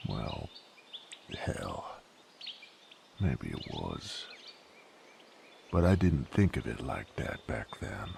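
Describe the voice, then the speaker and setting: Man acting a very deep, gravelly, brooding knight. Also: a little mellow, slight background noise, heavily acted. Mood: brooding, confessional. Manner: rough rustic folksy speech, brooding, halting. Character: sadist knight, gruff former carnival drifter, weary and brooding. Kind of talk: dramatic roleplay audio monologue.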